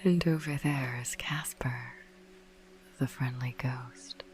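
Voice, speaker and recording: A woman narrating a bedtime ASMR podcast in a hushed, breathy whisper, soothing and unhurried. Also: a bass voice, steady tone. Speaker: host of a sleep podcast.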